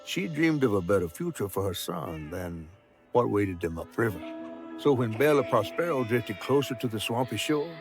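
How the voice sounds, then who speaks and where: Folksy Southern game storyteller over music. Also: male voice, on the low side, big pitch swings, moderate pace. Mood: solemn storytelling. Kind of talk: video game voice acting.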